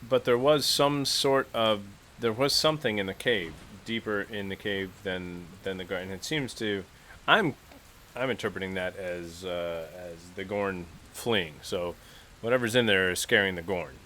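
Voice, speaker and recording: A man's voice: deep voice, moderate pace, pushed and bright, slight background noise. A man talking casually as a player in a tabletop role-playing game.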